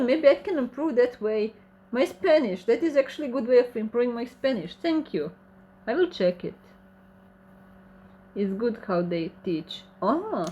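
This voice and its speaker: Host: woman, Croatian accent, reads chat live and answers it, mid pitch, faint background hiss. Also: dark tone. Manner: reads viewer comments aloud and answers them, light laughs. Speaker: channel host reading chat questions. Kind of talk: casual livestream Q&A.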